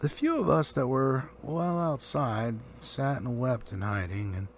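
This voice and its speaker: Storybook dwarf chief, man reader: elderly, male, proud and grave, formal delivery, gravelly edge, faint noise behind. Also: mid-range voice, animated, measured pace. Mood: solemn, proud. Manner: solemn, formal. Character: grave, proud old dwarf leader telling of lost treasure.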